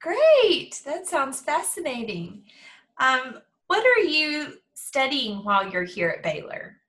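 A woman's voice: sits high, huge pitch swings, takes it slowly, a bit bright. A woman hosting an interview podcast, introducing a guest and asking upbeat, encouraging questions. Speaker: podcast host.

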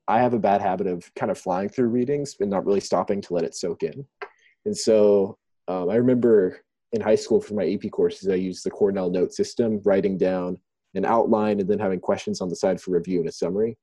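A man's voice: deep voice, dark tone, slightly rough, dry recording. A young man from Thailand, a student guest, answering interview questions over a remote call in a thoughtful, rambling, hesitant way. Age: young adult.

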